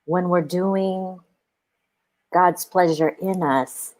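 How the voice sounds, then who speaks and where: A woman guest on a podcast, telling stories in a musing, reflective way with animated asides. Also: fairly low pitch, drawn-out words. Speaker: podcast guest, spiritual companion. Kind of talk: reflective conversation.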